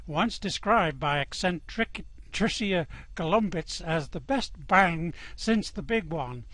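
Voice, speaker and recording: A man's voice: fairly high pitch, a bit bright, no echo. A man playing an upbeat galactic radio newsreader with a showy broadcast delivery in a comic bulletin. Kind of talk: radio news bulletin parody.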